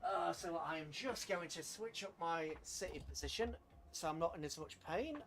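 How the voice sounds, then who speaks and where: Higher-voiced British man streaming a game. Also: animated, moderate pace, fairly bright tone, a little hiss or hum. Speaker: livestream host playing a strategy game. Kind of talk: casual live game commentary, chatting with viewers.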